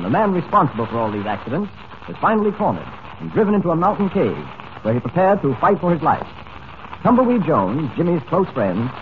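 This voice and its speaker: An actor playing an excited, emphatic announcer narrating a 1940s superhero radio serial. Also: male voice, fairly high pitch. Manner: dramatic, emphatic. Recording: noisy, narrow-band 1940s radio broadcast.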